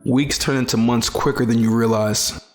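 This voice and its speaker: A man's voice: mid-range voice, steady tone, normal speed, natural voice. A man playing a weary, disillusioned young survivor in an audio drama, reflective and plain-spoken. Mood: brooding. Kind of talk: first-person audio drama narration and dialogue. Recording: loud music behind the voice. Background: music.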